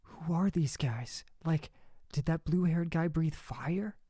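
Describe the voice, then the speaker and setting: An actor playing a jumpy, excitable young man who snaps easily. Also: on the high side, slow, no echo, studio quality. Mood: uneasy. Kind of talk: fan audio drama acting.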